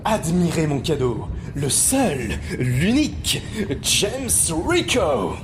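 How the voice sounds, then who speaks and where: An actor playing a sly, cheeky thief in an audio drama, glib and informal with a theatrical streak. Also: male voice, on the high side, huge pitch swings, slow pace, noisy background, heavily acted. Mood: flattering, showy. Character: sly, cheeky male thief, flattering and self-serving.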